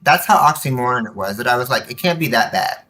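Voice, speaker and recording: Guy on a podcast, real bright punchy voice, chatty storyteller who quotes people and asks rhetorical questions. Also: mid-range voice, fast. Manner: animated retelling with quoted dialogue and rhetorical questions. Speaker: podcast guest, community activist. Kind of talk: casual personal storytelling.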